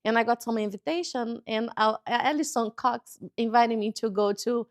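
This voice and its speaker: Brazilian-accented woman, halting and cheerful. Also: a little high, no echo, clean studio sound. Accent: Brazilian Portuguese. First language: Portuguese. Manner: halting, searching for words, cheerful. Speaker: guest author. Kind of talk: casual interview chat. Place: local TV studio.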